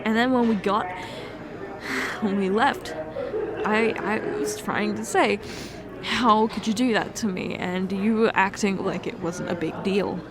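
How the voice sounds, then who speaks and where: A young woman performing an emotional roleplay confession, halting and tearful, with many sighs and restarts. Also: mid-range voice, fairly even intonation, a crowd in the background. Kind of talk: emotional ASMR fiancée roleplay monologue.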